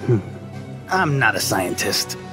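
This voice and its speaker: Slacker game villain: whiny, drawling, wildly swooping pitch. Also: male voice, slow, music in the background. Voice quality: whiny, drawling, easily excited. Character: lazy, cowardly young man, a reluctant villain. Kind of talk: video game voice acting.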